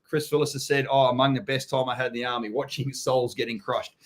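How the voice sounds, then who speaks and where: Australian man, host, live chat, blunt, swears a lot, says mate, mid pitch. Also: little pitch movement, fast talker, a little hiss or hum. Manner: blunt, swears freely, calls people mate. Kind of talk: casual live-stream chat.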